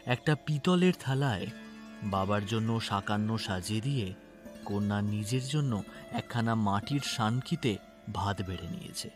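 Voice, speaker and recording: A man narrating a literary story dramatically, in a measured and expressive way. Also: lowish voice, lightly acted. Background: background music.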